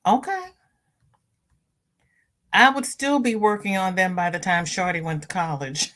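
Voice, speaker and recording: A woman hosting a crochet livestream, chatting casually and reading viewer comments. She sounds stuffy-nosed and greets viewers by name with high, bright lifts in her voice. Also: expressive, measured pace. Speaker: crochet channel host.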